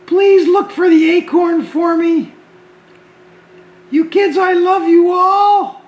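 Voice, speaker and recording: A shrunken little creature with a tiny squeaky voice. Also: male voice, echoey room. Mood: embarrassed pleading. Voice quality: high squeaky voice. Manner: excited, fast, near-shouting. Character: shrunken tiny male creature, embarrassed and pleading.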